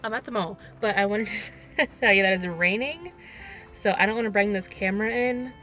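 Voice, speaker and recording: A woman's voice: mid-range voice, normal speed, sharp tone, soft background music. A young woman in her twenties chatting casually to her camera, recorded at home and then in a parked car, talking in a chatty, slightly self-conscious way with lots of fillers. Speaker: vlogger. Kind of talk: casual vlog chat. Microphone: camera on-board mic at arm's length.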